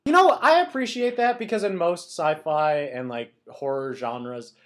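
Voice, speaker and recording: A man co-hosting a podcast in casual comedic banter, playful and quick to interject. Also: fairly high pitch, animated, a bit bright. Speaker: podcast co-host.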